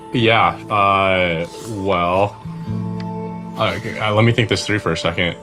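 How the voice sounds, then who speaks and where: A man on a reality dating show who speaks in a hesitant, halting way with many pauses. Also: deep voice, steady tone. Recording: TV show clip with music.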